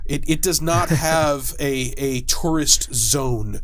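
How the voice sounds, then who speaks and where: A man's voice: mid-range voice, slow pace, fairly bright tone. A man speaking as an expert guest, explaining fictional game lore casually, in detail and with a wry manner. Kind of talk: casual explanatory interview talk.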